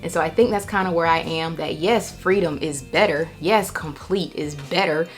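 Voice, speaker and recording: A woman's voice: low-pitched, normal speed, faint music behind. An American woman talking candidly and reflectively in a casual personal vlog, repeating herself for emphasis. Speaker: vlogger.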